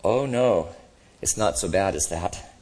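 A man voicing a gentle, cheerful redeemed spirit in a storybook reading, patient, humble and lightly amused. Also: deep voice, animated, measured pace, slight background noise, poor audio. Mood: gently urging.

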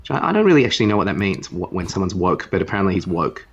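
A man's voice: deep voice, big pitch swings, very fast, lo-fi sound, slight background noise. A man co-hosting a podcast with casual banter in an offhand, joking way. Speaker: podcast co-host.

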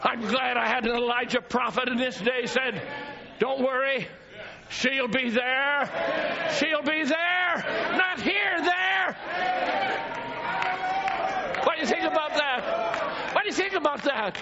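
A man preaching a fiery sermon to his church congregation, often shouting in a high, strained voice. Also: slow, vocal fry, pauses often, over a noisy crowd, heavy background noise. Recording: dull lo-fi church recording with congregation.

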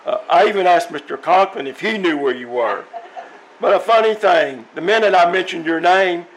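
A man's voice: sits high, normal speed, lightly acted, low-quality recording. A man playing a dim, earnest teenage schoolboy with a gawky, hesitant manner in an old-time radio comedy. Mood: earnest, puzzled. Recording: live stage recording with background noise.

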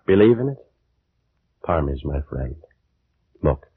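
An actor playing a proud, fervent ancient Egyptian scientist in theatrical 1940s radio drama style. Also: male voice, rumbling low, very animated, slow pace, exaggerated voice. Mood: solemn, persuasive. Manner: theatrical, declamatory. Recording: noisy tinny 1940s radio recording.

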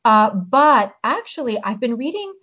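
A woman, born in Hungary, chatting as an interview guest and pausing to search for her words. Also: a little high, big pitch swings, breathy edge. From born in Hungary, raised in the US. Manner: reflective, pauses to search for words. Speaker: guest, novelist and teacher. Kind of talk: casual interview chat. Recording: slightly muffled audio.

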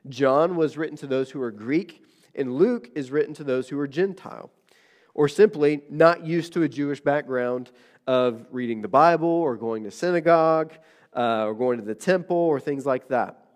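A man preaching to his congregation in a nasal voice, still getting over an illness. His voice sits a little high for a man, and he talks in a loose, conversational way, with plenty of "uh"s and restarts. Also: moderate pace. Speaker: pastor. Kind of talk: sermon.

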